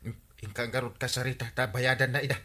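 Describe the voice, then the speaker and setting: A man playing an excitable village busybody in a comedy radio drama, exclamatory, with an Ilocano accent. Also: mid-range voice, fast talker. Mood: secretive, concerned. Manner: exclamatory, comic, swooping.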